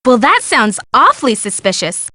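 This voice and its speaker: Perky, high-voiced young American. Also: female voice, wildly swooping pitch, normal speed, pushed and bright, no echo, exaggerated voice. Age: young adult. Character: perky girlish young woman, detective's chatty friend. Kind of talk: video game voice acting.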